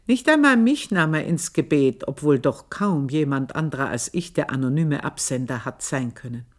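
An actress with an Austrian accent playing a guilt-ridden, vengeful housemaid in a radio play monologue, husky and breathy, confessing with brooding intensity. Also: female voice, sits low, animated, moderate pace. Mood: fervent, brooding. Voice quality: husky, breathy, often dropping to a whisper.

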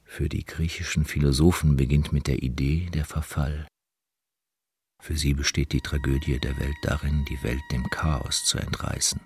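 A man reciting philosophical journal entries in a radio piece as a grave lecturer, solemn and measured. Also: a bass voice, steady tone, a little mellow, breathy edge, full character voice. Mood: solemn, contemplative. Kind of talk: recited reading of theoretical texts, radio play.

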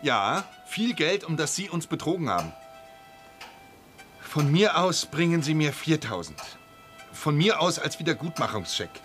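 An actor playing an officious, self-righteous office bureaucrat in a radio drama, pedantic, formal and stern. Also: male voice, a little high, very animated, unhurried, fairly bright tone, faint music behind. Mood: stern, demanding. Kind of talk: German radio drama acting.

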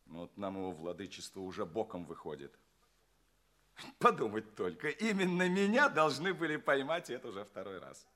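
Grumbling, animated alien townsman. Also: on the low side, normal speed. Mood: weary complaint. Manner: grumbling, exclamatory, irritable. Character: grumbling, jaded male alien townsman, fed up. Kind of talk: theatrical audio play dialogue.

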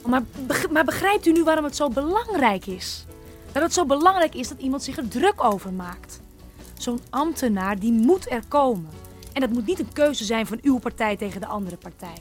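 A woman's voice: sits high, big pitch swings, normal speed, faint music behind. An actress playing an earnest, insistent woman campaigner in a radio drama, speaking with emphatic urgency. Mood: urgent pleading.

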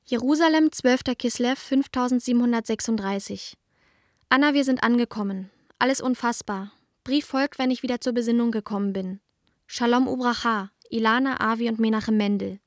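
A woman's voice: fairly high pitch, brisk, no echo, clean studio sound, lightly acted. An actress playing an overwhelmed emigrant woman who reads out a brief letter home in a radio play. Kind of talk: radio play, short letter read aloud.

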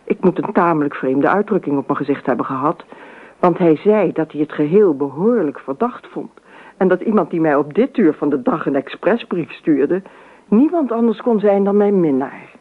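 Tinny radio recording, dreamy talkative wife. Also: female voice, fairly low pitch, animated, moderate pace. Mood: recounting, indignant. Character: dreamy, talkative, imaginative wife recounting her marriage. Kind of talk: radio drama monologue and dialogue.

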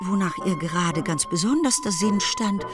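A woman narrating a German literary radio play from a script, in a wry, expressive manner. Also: mid-range voice, normal speed. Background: music.

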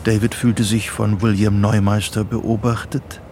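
An actor narrating a psychological thriller radio play as a sober storyteller. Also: male voice, fairly low pitch, flat delivery, unhurried, a little mellow, a little hiss or hum. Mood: sober, suspenseful. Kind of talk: scripted literary narration.

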